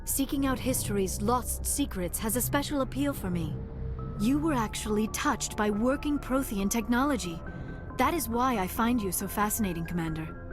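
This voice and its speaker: A woman's voice: a little high, moderate pace, natural voice. An actress playing a young alien archaeologist in a video game, earnest and formal, flustered when she feels embarrassed, with music playing behind her. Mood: reflective. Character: young alien woman archaeologist, shy and awkward.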